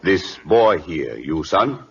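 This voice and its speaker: An actor playing a blunt, imperious Siamese prime minister in an old radio drama, in terse broken English. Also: male voice, low-pitched, big pitch swings, takes it slowly, heavily acted. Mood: stern, probing. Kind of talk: 1940s radio acting. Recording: muffled old radio recording.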